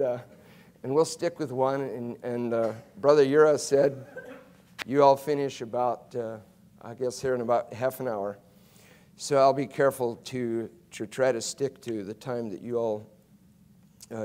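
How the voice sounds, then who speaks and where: A middle-aged man preaching a chapel sermon from a pulpit, going from soft and low to loud and emphatic, often restarting his words. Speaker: guest preacher. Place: college chapel stage behind a pulpit. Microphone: pulpit mic, wide fixed camera.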